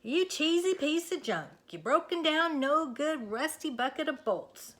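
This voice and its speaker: Woman reading as an excitable, protesting storybook cow. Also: high voice, measured pace, pitched above the performer's own voice. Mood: scolding. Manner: reasoning, protesting, exclaiming. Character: sensible, doubtful cow, excitable female best friend. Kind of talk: children's storybook read-aloud.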